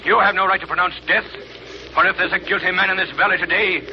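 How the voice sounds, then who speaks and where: A man's voice: high voice, fairly even intonation, fast. An actor playing the stern voice of a man's conscience, solemn and admonishing, in 1930s radio drama style. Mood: stern, accusing. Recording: noisy dull 1930s radio recording.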